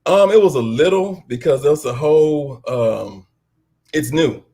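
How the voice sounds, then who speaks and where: An American man answering interview questions casually, in an unhurried, reflective way. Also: fairly high pitch, animated, dark tone, slightly rough. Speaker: stage actor, interview guest.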